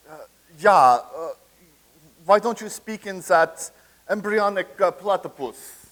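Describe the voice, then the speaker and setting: Blunt, mocking young man with a fairly high voice, as an actor plays him. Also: lively intonation, slow pace, a bit bright, heavily acted. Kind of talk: staged play reading.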